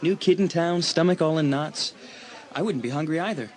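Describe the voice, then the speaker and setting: A man's voice: fairly high pitch, moderate pace, natural voice. A young man playing an easygoing, teasing teenage school athlete in an audiobook dramatisation, chatting casually. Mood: breezy, teasing.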